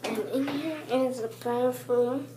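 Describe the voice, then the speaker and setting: A young girl walks through a small apartment with a phone in her hand, narrating in a slow, halting way as she points things out. Her voice sits a little high, and the recording picks up steady noise from the handheld phone. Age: child. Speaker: vlogger giving a home tour. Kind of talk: casual home tour narration. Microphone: handheld phone camera, moving.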